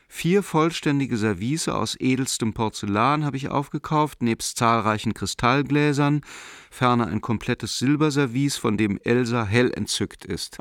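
A man's voice: mid-range voice, no echo, studio quality, close to an everyday voice. An actor playing a prosperous, jovial family man in a radio play, expressively reading out his boastful letter to a friend. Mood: proud, boastful.

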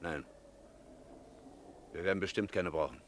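A resolute village priest in a radio play, heard with faint background noise. The voice is low, and the delivery is firm, insistent and unwavering. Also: male voice, unhurried. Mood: resolute, urgent. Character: resolute, unarmed village priest on a night search. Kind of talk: German radio play acting.

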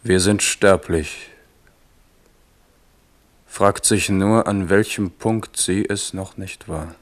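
Slow, deep-voiced grieving king. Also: steady tone. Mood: remorseful grief. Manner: grave, measured, brooding stage delivery. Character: grieving, remorseful middle-aged French king. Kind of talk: German radio drama acting.

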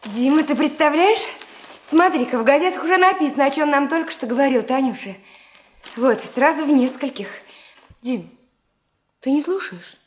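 An actress playing an affectionate, questioning, concerned wife in a noisy 1970 Soviet radio play recording. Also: female voice, high voice, animated, moderate pace, pauses often.

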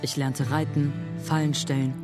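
An actor as Apache-raised boy telling the story, earnest, plain, medium-pitched, music behind. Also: little pitch movement, normal speed, natural voice. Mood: reflective. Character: boy among the Apache, recounting his own story. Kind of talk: radio drama narration and acting.

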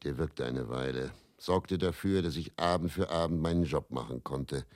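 A very deep-voiced, brooding faith healer. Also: male voice, a little mellow, no room sound, clean studio sound. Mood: bitter, tormented. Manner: brooding, confessional, deliberate with rhetorical repetition. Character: weary, self-doubting male faith healer, whisky-drinking travelling showman. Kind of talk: German radio play dramatic monologue.

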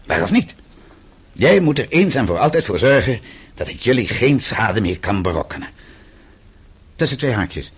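An actor in a radio play voicing a bitter, defiant exiled banker who speaks with scornful, accusing emphasis. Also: male voice, mid-range voice, very animated, normal speed, pauses often, slightly rough. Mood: bitter, challenging. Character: defiant, bitter exiled male banker, suspected political dissident.

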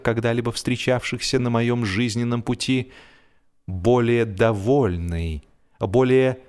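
A male narrator reads humorous literature in a middle-register voice. He tells it theatrically, stretching phrases deliberately for comic effect, while music plays softly behind him. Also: mid-range voice. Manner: expressive, theatrical storytelling. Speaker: audiobook narrator, reader. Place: studio.

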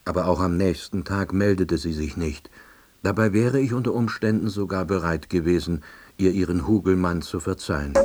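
A man playing a subdued, baffled middle-aged East German salesman, narrating a radio play in a dry, husky voice. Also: deep voice. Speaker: narrator and lead character.

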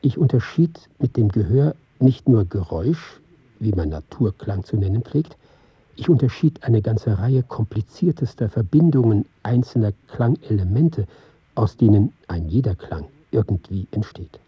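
An actor playing an earnest, reflective film pioneer, giving a measured, formal radio drama monologue in a husky voice. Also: male voice, lowish voice, animated, no echo, lo-fi sound. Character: earnest, reflective male film pioneer recounting his experiments. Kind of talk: scripted radio drama monologue, read as lecture.

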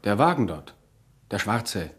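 A watchful, lively-voiced employee. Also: male voice, lowish voice, measured pace. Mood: suspicious. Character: alert, suspicious male bank employee. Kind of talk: German radio crime comedy acting.

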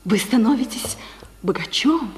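A coquettish young opera singer in a vintage radio drama. Her high voice is soft, breathy and adoring, and it rings in a long reverb. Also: animated, unhurried. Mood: tender, coy. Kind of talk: 1950s Soviet radio drama acting.

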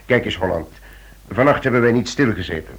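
An actor playing a persuasive police chief in a radio play, speaking deliberately and coaxing with repeated words. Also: male voice, on the low side, animated, slightly rough. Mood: explaining. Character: persuasive male police chief pressing a friend for help. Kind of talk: Dutch-language radio play acting.

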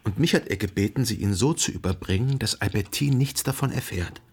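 Gravelly, reflective male narrator. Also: lowish voice, a little fast. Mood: urgent pleading. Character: reflective, lovelorn literary narrator recalling his own past. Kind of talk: literary radio drama narration.

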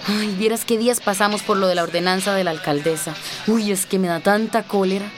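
An actress playing an affectionate, overworked girlfriend in a radio soap, casual and expressive. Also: mid-range voice, fairly quick, music in the background, lightly acted. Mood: warm, venting. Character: affectionate, overworked woman out with her boyfriend.

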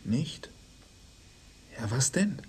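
A shy, halting young man. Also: mid-range voice, big pitch swings, darkish tone, slightly rough, full character voice. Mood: hesitant, wistful. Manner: hesitant, halting short answers. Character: shy, lonely young man, hesitant and awkward. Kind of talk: 1990s German crime radio play acting.

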